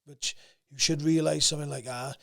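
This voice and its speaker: A young man with a South Wales valleys accent and a gruff voice, talking bluntly and swearing freely as a podcast guest. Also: a little high, steady tone, a little fast. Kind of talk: casual interview chat.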